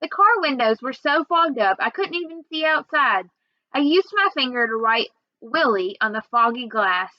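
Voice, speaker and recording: High-voiced woman reading a story aloud. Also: normal speed, no room sound, highs cut off. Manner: expressive, acts out dialogue. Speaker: reader. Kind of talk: read-aloud storytelling of a children's novel.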